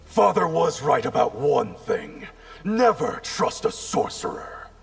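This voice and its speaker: An actor playing a stern, distrustful warrior in a video game, speaking in a curt, cold, gravelly, commanding way. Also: male voice, a little high, sing-song, takes it slowly, heavily acted. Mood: cold, suspicious. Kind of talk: dramatic video game acting.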